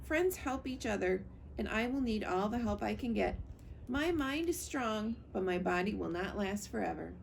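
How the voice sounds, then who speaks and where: Gentle female robot for a storybook woman reader, formal, measured phrasing, faint noise behind. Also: medium pitch, expressive, creaky, rough recording. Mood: calm, sincere. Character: gentle, logical female robot, helpful friend. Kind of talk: storybook character voice.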